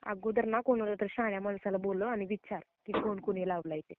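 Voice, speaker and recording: A woman's voice: mid-range voice, steady tone, fairly quick, no room sound. Indignant woman on a tinny phone line. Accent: Maharashtrian Marathi. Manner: indignant, scolding, emphatic. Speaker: prank call recipient. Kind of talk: heated phone argument. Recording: narrow tinny phone-call recording.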